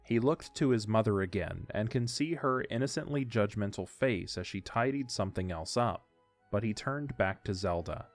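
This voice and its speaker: A man's voice: on the low side, normal speed, faint music behind. A man reading scripted story narration as the narrator of an audiodrama.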